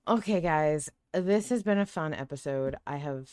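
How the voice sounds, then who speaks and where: A woman's voice: deep voice, normal speed, darkish tone, clear, resonant tone, no echo, studio quality. A woman hosting a casual fan podcast, chatting in an emphatic, playfully argumentative way. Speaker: podcast host.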